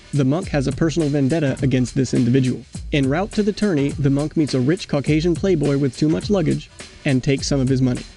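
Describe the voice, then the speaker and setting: A man narrating a scripted comparison in a deadpan manner with formal wording. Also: fairly high pitch, creaky. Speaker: video narrator. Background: faint background music.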